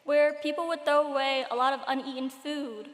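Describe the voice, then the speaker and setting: A teenage girl who grew up partly in Cambodia gives her commencement speech with dry, self-deprecating humor. Her voice sits high. The speech rings through a big hall with a long reverb tail. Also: steady tone, fairly quick. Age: late teens. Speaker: graduating student speaker. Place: large hall at a graduation ceremony.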